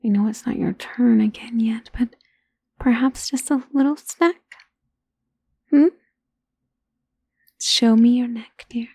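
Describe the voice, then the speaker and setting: A woman performs an ancient female vampire who dotes possessively on the listener in an ASMR roleplay. The voice is husky and breathy with a gravelly creak, often falling to a whisper. The delivery is genteel and gently teasing, recorded very dry and intimate. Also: a little high, steady tone, dry recording, heavily acted. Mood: hungry, coaxing. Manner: slow, tender, teasing, genteel endearments.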